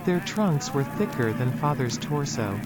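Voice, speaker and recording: An actor playing a troubled research subject in an analog horror drama, recounting childhood memories in a solemn, deliberate way. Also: male voice, mid-range voice, sing-song, loud music behind the voice. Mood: haunted. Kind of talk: analog horror found-footage acting, narrative monologue.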